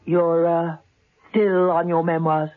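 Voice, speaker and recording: A woman's voice: on the low side, little pitch movement, slow pace. An actress playing a coolly persuasive female fundraiser in a 1970s radio drama, polite but pushy. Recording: tinny old radio broadcast.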